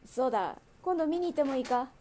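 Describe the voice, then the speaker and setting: An actress voices a brash gang boss woman in a radio drama. Her voice sits fairly high, yet her wording is blunt and mannish. She teases like someone used to command, with faint ambience behind her. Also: animated, fast, mellow, slight background noise. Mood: breezy. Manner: blunt, mannish speech, teasing. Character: brash, teasing female Taiwanese underworld gang boss. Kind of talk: radio drama acting, conversational.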